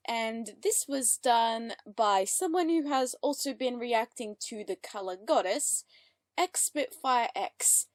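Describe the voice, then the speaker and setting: A chatty woman hosting a reaction video, with a fairly high voice. She comments casually and reads on-screen captions aloud. The sound is dry and close. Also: animated, slow, dry recording.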